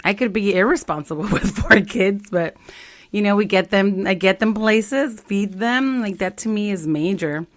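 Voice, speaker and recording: Brief: forties woman, chatty co-host, lively, mid-pitched, slips into squeaky impressions. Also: normal speed. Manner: animated, jumps into high-pitched quoted voices. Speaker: podcast co-host. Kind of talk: casual chat.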